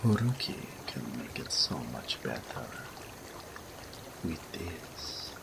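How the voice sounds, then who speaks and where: Man voicing a deep, gravelly, seductive naga. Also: sing-song, noisy background, heavily acted. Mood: seductive, soothing. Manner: slow, third-person speech, soft murmurs dropping to whispers. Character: seductive male naga, possessive, doting, teasing serpent creature. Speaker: audio roleplay voice actor. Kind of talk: intimate romantic audio roleplay.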